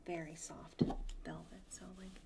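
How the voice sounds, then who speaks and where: A woman playing a polite, hesitant presenter in a soft-spoken ASMR roleplay, breathy and often near-whispering into close microphones. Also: lowish voice, very animated, a little slow. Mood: soft, tentative. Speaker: ASMR performer. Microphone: close sensitive ASMR microphones. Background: tapping and clicking of handled objects.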